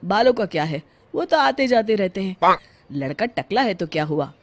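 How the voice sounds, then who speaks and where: A woman playing a reasonable middle-aged father who gently admonishes, in a cartoon story. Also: mid-range voice, big pitch swings, normal speed, no echo. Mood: gently scolding.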